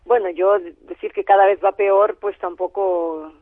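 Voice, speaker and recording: A woman's voice: mid-range voice, steady tone, normal speed, no echo. A woman guest who works as a Bible translator, speaking by phone in a radio interview and explaining her points in a thoughtful way that often repeats and trails off. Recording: tinny phone line.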